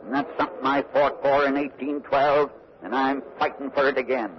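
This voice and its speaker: An actor playing a feisty, terse farmer in his seventies with gruff rural speech in a 1940s radio drama. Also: mid-range voice, little pitch movement, moderate pace, a little hiss or hum, lightly acted. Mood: stubborn, resolute. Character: feisty, terse 72-year-old farmer and war volunteer. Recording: dull vintage radio broadcast recording.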